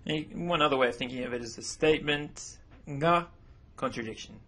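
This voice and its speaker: A man with a slightly husky, mid-pitched voice talks through a slideshow lesson. His first language is English, and he explains in an easygoing way, often correcting and repeating himself. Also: a little fast. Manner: relaxed, self-correcting explanations. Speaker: language teacher. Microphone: voice-over.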